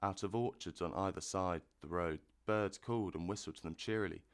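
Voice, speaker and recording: A man narrating a classic storybook in a measured, expressive reading. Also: low voice.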